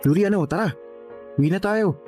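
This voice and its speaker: A man's voice: sits high, fairly quick, a little mellow, faint music behind, natural voice. A man acting a young lovelorn suitor in a Filipino radio drama, emotional and exclamatory, by turns teasing and pleading. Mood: casual, coaxing.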